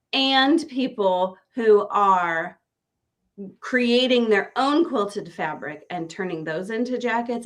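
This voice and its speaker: Enthusiastic woman hosting a chat. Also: medium pitch. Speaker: host. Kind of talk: casual interview chat.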